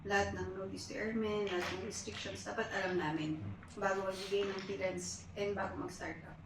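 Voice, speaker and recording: A young Filipino woman chats casually as a podcast guest, blending in English phrases. Her average-pitched voice comes from slightly away from the mic. The room is very echoey. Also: fairly even intonation, a little fast, far from the mic, noisy background. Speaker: podcast guest, air traffic controller. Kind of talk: casual podcast chat, code-switching.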